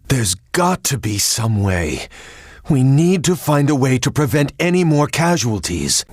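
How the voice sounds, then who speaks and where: A man's voice: mid-range voice, no room sound, clean studio sound. An actor playing a naive, idealistic male political leader in a video game, earnest and folksy in manner.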